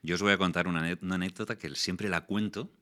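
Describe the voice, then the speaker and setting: Man in his 40s, very low pitch, bright forceful tone, explanatory interview guest, storytelling. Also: quick pace. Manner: explanatory, animated storytelling with voiced quotes. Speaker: guest, voice actor and communication coach. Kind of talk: casual interview talk.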